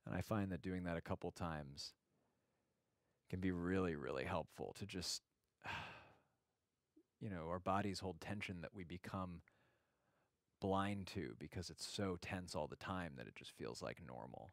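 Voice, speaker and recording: A man with a very low voice and plenty of vocal fry guides a meditation in a conversational way. His manner is gentle and reflective, with halting phrases and long pauses. The sound is dry, with hardly any room to it. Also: no echo.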